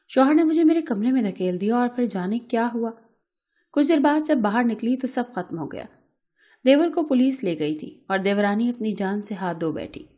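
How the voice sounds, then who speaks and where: Woman, story narrator, soft mellow tone, mid pitch, scripted emotional first-person narration, dramatic delivery.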